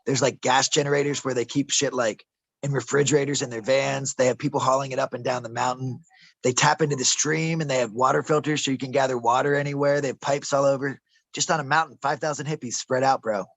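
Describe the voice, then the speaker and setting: Gravelly man telling wild stories. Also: medium pitch, steady tone, fast talker, no echo. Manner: conspiratorial, says bro and man, trips over words. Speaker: interviewee, traveler telling his stories. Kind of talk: casual rambling storytelling. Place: outdoors in a desert camp.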